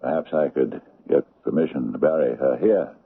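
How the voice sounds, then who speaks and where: An extremely deep, creaky older man's voice, a mourning father who is gentle and worn out. He speaks hesitantly, in old radio-drama style on a narrow, muffled recording. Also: full character voice. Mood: subdued. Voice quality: gravelly. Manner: hesitant, soft-spoken, halting. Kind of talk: 1970s radio drama acting. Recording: muffled 1970s radio broadcast.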